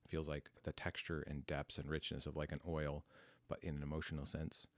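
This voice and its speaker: An American man in his forties telling his life story on a podcast in a reflective, unscripted way, with halting, introspective phrasing. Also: a bass voice, monotone, no echo. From United States. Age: 40s.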